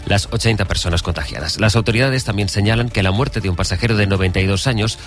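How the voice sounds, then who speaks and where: A man's voice: fairly low pitch, fast talker. A man with an accent from Spain reading scripted news as a radio presenter. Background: faint music bed.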